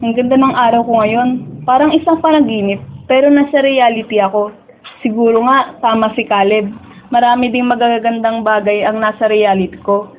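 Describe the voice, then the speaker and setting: A woman's voice: on the high side, fairly even intonation, moderate pace, lightly acted. A young woman with a Filipino accent playing a dreamy teenage schoolgirl who prefers dreams to reality, in radio drama dialogue and first-person narration. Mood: reflective. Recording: tinny narrow-band audio with music behind.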